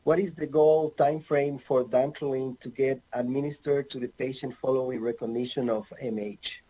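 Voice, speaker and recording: Mellow-voiced man from Ecuador lecturing. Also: mid-range voice, steady tone, poor audio. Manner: halting, many fillers, light humor. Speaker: anesthesiologist presenter. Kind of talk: recorded online medical lecture. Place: home office with bookshelves.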